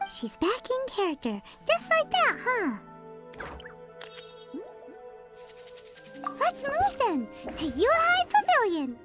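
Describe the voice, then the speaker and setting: A woman's voice: very high-pitched, wildly swooping pitch, unhurried, loud music behind the voice, heavily acted. A woman voicing a small, bubbly, blunt fairy companion in a video game, with a perky, childlike, cheeky manner. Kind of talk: English video game voice acting.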